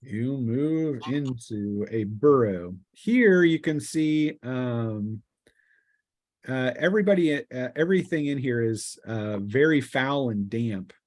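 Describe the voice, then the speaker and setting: A man narrating a tabletop game as game master, improvising descriptions haltingly and thinking aloud. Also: medium pitch, lively intonation, darkish tone.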